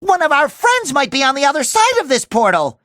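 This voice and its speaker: A man voicing a cheerful, naive young talking sponge in a cartoon video game, with a squeaky, nasal, exaggerated delivery. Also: wildly swooping pitch, brisk, pushed and bright, dry recording, clean studio sound, full character voice. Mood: reassuring. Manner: exaggerated, earnest, eager delivery.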